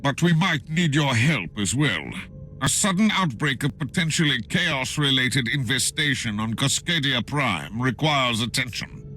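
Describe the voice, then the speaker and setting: An actor playing a stern, haughty and zealous inquisitor in a video game, speaking with pompous force. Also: male voice, mid-range voice, lively intonation, a little slow, pushed and bright, soft background music. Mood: grudging. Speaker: game character. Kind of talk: dramatic video game cutscene acting.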